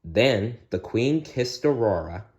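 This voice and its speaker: A man's voice: medium pitch, expressive, slow, a little mellow. A man reading a bedtime story aloud expressively, now and then speaking to young listeners. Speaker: storybook reader.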